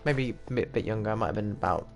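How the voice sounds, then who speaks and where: A young British man rambles through casual chat over a game session, his voice dipping into a gravelly fry. He laughs often and strays off topic, with game music playing clearly behind him. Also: on the low side, fast talker. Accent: British English. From England. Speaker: gaming streamer.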